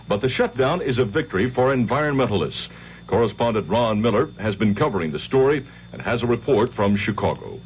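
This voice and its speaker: A man's voice: medium pitch, expressive, fairly quick. An American man reading a scripted radio newscast as the anchor. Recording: dull 1970s radio broadcast, faint hum.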